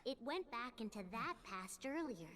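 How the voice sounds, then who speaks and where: A woman playing a gentle, solemn guide in a video game cutscene, speaking earnestly and deliberately. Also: a little high, big pitch swings, a crowd in the background. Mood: solemn, guiding. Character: gentle solemn guide advising a young hero.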